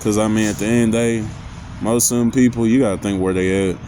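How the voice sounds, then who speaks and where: A man with a deep voice talking loosely about anxiety and growing up, with lots of slang and filler phrases. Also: steady tone, fast talker, background noise. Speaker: vlogger. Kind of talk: casual motivational talk.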